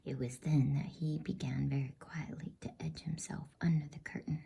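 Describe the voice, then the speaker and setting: A woman whispering softly in a gentle, unhurried, hushed ASMR style as she hosts and reads a bedtime story. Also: low voice, fairly even intonation. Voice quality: breathy, soft whisper. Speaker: host and reader of a bedtime story channel.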